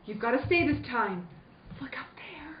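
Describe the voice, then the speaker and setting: An echoey, dull room recording carries a woman acting as an anxious dream double in a radio play. The voice is extremely high and halts as it pleads, full of dread. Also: expressive, slight background noise. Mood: afraid, mournful. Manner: halting, repeats herself in dread. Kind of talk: amateur radio drama acting.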